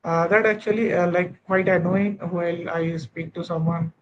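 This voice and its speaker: A young man with a high voice and an Indian English accent speaks in halting, hesitant bursts, packed with fillers and false starts. He sounds like a learner on a video call, and the audio is dull and lo-fi. A clear, steady noise runs underneath. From Odisha, India. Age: 20s. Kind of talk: online speaking practice session.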